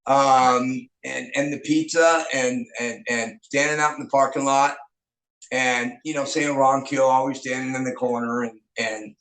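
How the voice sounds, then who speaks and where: A man's voice: mid-range voice, fairly even intonation, measured pace, a bit bright. A man, a rock band's singer and guitarist, telling stories from his past in a loose, rambling way, with many fillers and restarts. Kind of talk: casual interview storytelling. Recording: echoey room.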